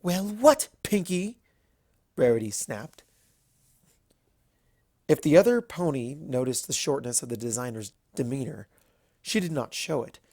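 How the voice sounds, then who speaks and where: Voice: mid-range voice, expressive, normal speed, pauses often, dark tone, no echo, studio quality. A narrator reading a scripted story expressively.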